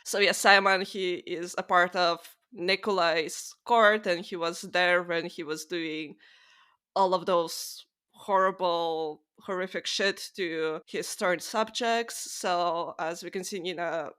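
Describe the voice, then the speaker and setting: Woman podcast cohost, really bright voice, casual book chat, swears a lot, says like constantly. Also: fairly low pitch, fairly even intonation, slow pace, smooth. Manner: informal, sweary, peppered with "like". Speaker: podcast co-host.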